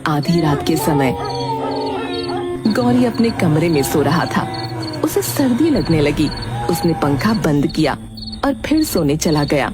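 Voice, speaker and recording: A woman's voice: sits low, big pitch swings, slow, long pauses, over music. A woman reading scripted story narration as the narrator.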